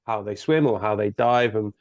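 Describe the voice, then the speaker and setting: A man's voice: on the low side, normal speed, no echo. A man hosting a podcast, asking long, hedging questions with restarts. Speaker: podcast host. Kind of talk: conversational interview questions. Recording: dry, dull lo-fi audio.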